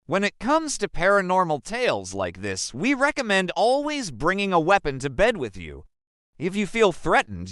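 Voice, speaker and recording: Man, scripted narration, lively pitch, smooth clear voice, dry close mic. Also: no echo. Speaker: commentator.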